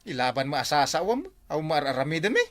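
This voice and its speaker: A man's voice: a little high, big pitch swings, fast talker, a bit bright. A man with an Ilocano accent playing a flirty, exasperated husband in a comedy drama, sweet-talking and protesting. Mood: flustered. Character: flirty, exasperated husband, easily embarrassed by his wife.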